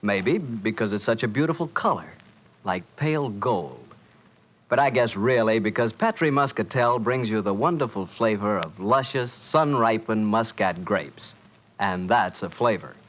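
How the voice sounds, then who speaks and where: A man's voice: on the low side, animated, unhurried. A man with an American accent reading a folksy, persuasive 1940s radio sponsor message. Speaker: radio announcer and sponsor pitchman. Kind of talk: 1940s radio advertisement read.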